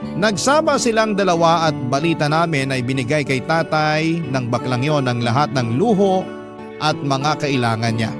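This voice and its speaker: A man's voice: fairly high pitch, lively intonation, normal speed. A man with a Filipino accent reading a listener's letter as an emotive, confiding radio drama narrator. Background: guitar music.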